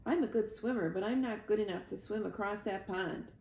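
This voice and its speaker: A woman's voice: mid-range voice, a little fast, a little mellow, lightly acted. A woman voicing a young male gosling, polite, sweet and childlike, in a children's storybook. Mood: awed. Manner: earnest, childlike. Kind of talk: children's storybook read-aloud. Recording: roomy echo, clear background noise.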